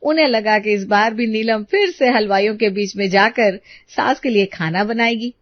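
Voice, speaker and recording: A woman's voice: mid-range voice, moderate pace, no room sound, highs cut off. A woman storyteller reading a scripted moral tale as narration.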